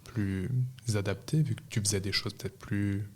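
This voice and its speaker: A man's voice: on the low side, flat delivery, moderate pace, darkish tone, a little hiss or hum. A man hosting a podcast in a casual, conversational way. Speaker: podcast host. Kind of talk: casual podcast intro and interview.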